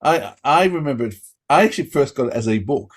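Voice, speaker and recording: A man's voice: mid-range voice, expressive, a little fast. Enthusiastic man hosting. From Australia. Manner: enthusiastic, rambling with restarts. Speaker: podcast host. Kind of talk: casual interview chat.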